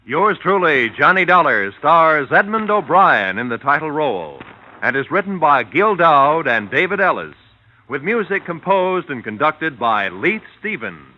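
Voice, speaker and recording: A man announces credits in old-time radio style. His voice is somewhat high and very animated, on a dull vintage recording. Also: measured pace.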